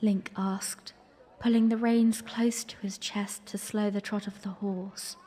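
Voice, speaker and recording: A woman's voice: medium pitch, flat delivery, a little slow, dark tone, natural voice. A woman playing a young, playful, romantic princess who tells her story in a genteel, tender way in a fan audio drama. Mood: playful, warm.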